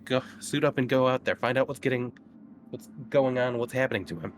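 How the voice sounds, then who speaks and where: A man's voice: mid-range voice, fairly quick, lightly acted. A man playing an alarmed crewman in a tabletop game, speaking in urgent, clipped phrases. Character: alarmed male crewman urgently relaying an emergency.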